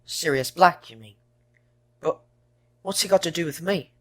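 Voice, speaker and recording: A woman's voice: sits low, expressive, normal speed. A woman playing an earnest, puzzled young teenage wizard boy in an audio drama. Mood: anxious.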